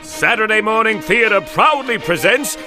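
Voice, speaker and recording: A man narrates like an old-time serial announcer, grand and theatrical. His pitch is high and swoops widely, with music playing behind him. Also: lively intonation, moderate pace.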